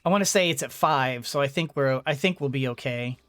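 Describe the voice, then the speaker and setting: A man giving casual let's play commentary, rambling and thinking out loud as he goes. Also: mid-range voice, fairly quick, clear, resonant tone, no echo. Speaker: gaming video host.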